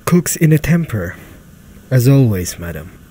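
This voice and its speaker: An actor playing a deferential, slightly anxious butler in a radio drama, polite and hesitant. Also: male voice, medium pitch, big pitch swings, a little slow, warm tone, slightly rough.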